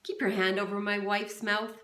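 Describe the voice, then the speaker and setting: A woman voicing a cheerful male baseball star who pitches a product in a TV ad, with an upbeat sales delivery, recorded in a roomy, echoey space. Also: little pitch movement, normal speed, pushed and bright, close to an everyday voice. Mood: teasing. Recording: roomy echo.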